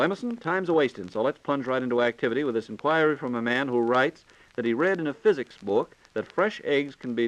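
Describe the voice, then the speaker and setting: Fast-talking 1940s American radio host. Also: male voice, medium pitch, poor audio. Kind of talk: 1940s radio announcing, scripted.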